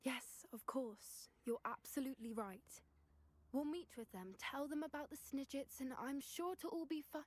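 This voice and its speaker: A woman's voice: sits high, moderate pace, close to an everyday voice. A woman playing a sincere, uneasy young witch student in a video game, with a tentative, polite manner. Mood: hesitant.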